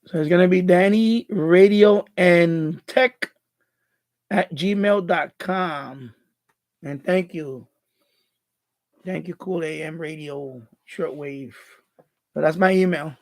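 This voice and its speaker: Bronx-accented man, high gravelly voice. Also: slow pace, long pauses. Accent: Bronx New York. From born in Puerto Rico, raised in the Bronx. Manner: rambling, repeats and restarts words, reads chat aloud. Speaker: live stream host. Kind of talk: casual live-stream chat reading viewer comments.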